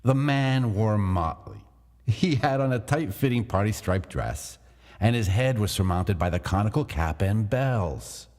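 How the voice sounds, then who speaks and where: A man narrating a classic gothic tale in a measured, deliberate dramatic reading. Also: lowish voice, expressive, a little mellow, long reverb tail.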